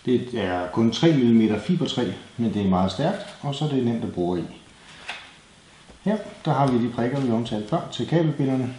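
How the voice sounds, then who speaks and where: A Danish man with a mid-pitched voice walks through a practical job in a casual, explanatory tone. He speaks plainly, like an instructor showing each step. The recording has a clearly echoey room sound with faint noise underneath. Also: lively intonation, dark tone. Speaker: DIY presenter. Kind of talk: hands-on tutorial narration, casual.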